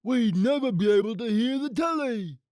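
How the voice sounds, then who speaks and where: A woman voicing a dim, reluctant, lazy male henchman in a storybook, with a dopey, drawn-out delivery. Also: on the high side, animated, a little mellow, no echo, voice pushed up, close to an everyday voice. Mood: dopey objecting.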